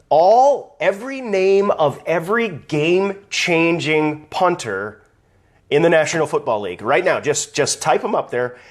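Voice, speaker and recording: A man hosting a casual live video stream, talking conversationally, greeting viewers by name and ranting, his voice slightly raspy from allergies. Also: fairly high pitch.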